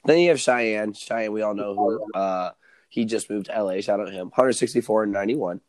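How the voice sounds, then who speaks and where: A man with a hoarse, slightly low voice co-hosts a sports podcast. He sounds excited and rattles off stats quickly. The recording is dry and close, with no room sound. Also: no room sound. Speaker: podcast co-host. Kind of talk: casual sports podcast chat.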